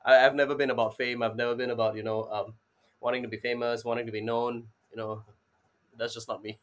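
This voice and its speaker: A man's voice: medium pitch, level tone, quick pace. A man, answering casually as an interview guest and often saying "you know". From Singapore. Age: 20s. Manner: informal, repeats "you know". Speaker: interview guest, startup advisor.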